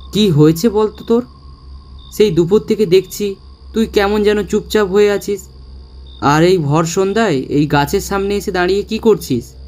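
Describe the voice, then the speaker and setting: A man's voice: on the high side, a little mellow, slight background noise, natural voice. A man playing a frightened, curious young man who narrates a ghost story with suspenseful, dramatic delivery. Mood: worried, questioning. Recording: long reverb, music underneath.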